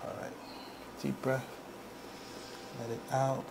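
A middle-aged man talks a client through treatment. His voice has a good deal of fry, and he speaks softly and calmly in short repeated cues. Noticeable room noise sits behind him. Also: medium pitch, slow. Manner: calm, repetitive short cues. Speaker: chiropractor. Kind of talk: soft-spoken instructions to a client during treatment. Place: small indoor treatment room. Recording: noisy room ambience.

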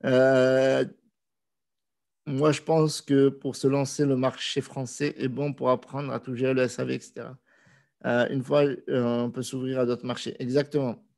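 A man's voice: mid-range voice, normal speed, gravelly. A man in his thirties with a cold, casually answering viewer questions in a live stream from a small room on a video call with a desk microphone. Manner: relaxed, conversational, with frequent hesitations. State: has a cold.

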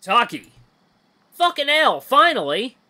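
A man's voice: very high voice, expressive, brisk, sharp tone, voice pushed up, heavily acted. A man voicing a frantic honey badger messenger in a story, talking in urgent, slangy bursts.

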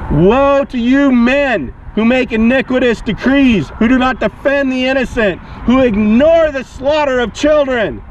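A man street preaching outdoors, declaiming accusingly in short, projected phrases through a megaphone. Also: very high-pitched. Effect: amplified through a megaphone. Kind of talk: open-air protest preaching. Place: outdoors by a walkway and car park. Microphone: action camera beside the megaphone. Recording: dull megaphone sound, outdoor noise, cars.

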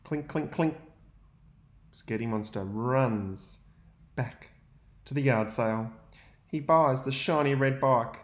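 A young adult male teacher, reading a picture book aloud to young students and pausing to ask them questions. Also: medium pitch, echoey room. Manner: gentle, expressive storytelling with pauses to ask questions.

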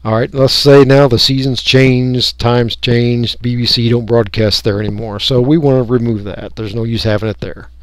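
An American man giving a casual, unscripted software walkthrough, folksy and rambling as he thinks aloud. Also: mid-range voice, steady tone, moderate pace, hardly any pauses, no room sound. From United States. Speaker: radio hobbyist giving a software tutorial.

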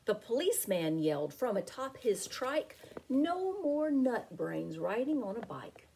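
A middle-aged woman reading a picture book aloud to children as a teacher, in an expressive, deliberate, sing-song way. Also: a little high, creaky, slight background noise. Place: small home room with window blinds.

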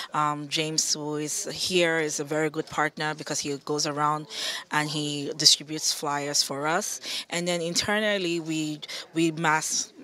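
Woman, thirties, low register, consultant being interviewed on site. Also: pushed and bright. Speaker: event coordinator and senior consultant. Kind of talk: on-camera interview. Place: busy office computer room indoors. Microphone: handheld interview microphone.

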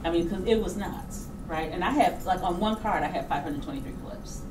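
A middle-aged woman telling anecdotes casually on stage into a handheld microphone, animated and informal, acting out reactions. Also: lowish voice, brisk. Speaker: documentary filmmaker, guest speaker. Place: auditorium stage before an audience. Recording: roomy, distant hall sound with background noise.

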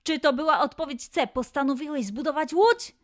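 A woman presenting a children's quiz as host, posing questions and answers in a clear way for young listeners. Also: high-pitched, animated, moderate pace, background noise.

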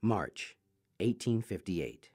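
A man playing a formal announcer in a radio drama, giving short, measured announcements. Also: low voice, big pitch swings, a little mellow, husky, no room sound, heavily acted. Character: male announcer of letter dates.

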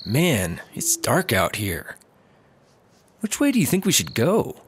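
Guy doing a goofy carefree cop in an audio comedy, super animated, bit of background noise. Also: medium pitch, slow. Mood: laid-back, eager. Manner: excitable, casual, blithe. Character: carefree, shameless, food-loving male cop. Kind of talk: comedy audio drama acting.

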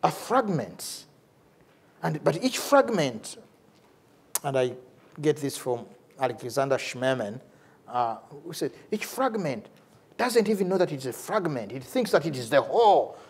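A middle-aged man with a Ugandan accent, speaking off the cuff as a lecturer, animated and repeating words for emphasis. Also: a little high, a little slow, breathy edge. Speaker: lecturer, theology professor and priest. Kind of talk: lecture with off-the-cuff answer to a question. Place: large university lecture hall, at a lectern.

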